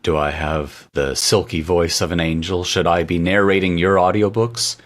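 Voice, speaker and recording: Deep-voiced joking podcast co-host man. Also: steady tone, moderate pace. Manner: playful, jokey, tangents. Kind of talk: casual tech chat.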